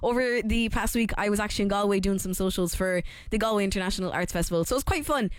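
Hoarse chatty Irish woman radio presenter. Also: medium pitch, no room sound. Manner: chatty, quick and animated, laughs often. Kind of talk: casual radio chat.

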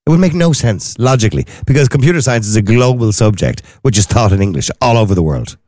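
A man's voice: big pitch swings, fast, a little mellow, no echo, studio quality. A middle-aged Irish man hosting a call-in radio show, arguing hard with a caller and cutting in often. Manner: interrupting, argumentative, pitch jumping up when pressing a point. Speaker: radio phone-in host.

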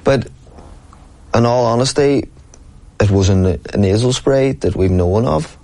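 A man's voice: medium pitch, a little slow, warm tone. A man, a professional boxer talking earnestly and at length in an interview, often asking "you know what I mean". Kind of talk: casual interview talk.

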